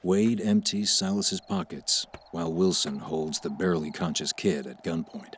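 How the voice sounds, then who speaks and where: A man's voice: low-pitched, moderate pace, slight background noise. A man narrating screenplay action for an audio drama in a scripted read. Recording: music behind the voice.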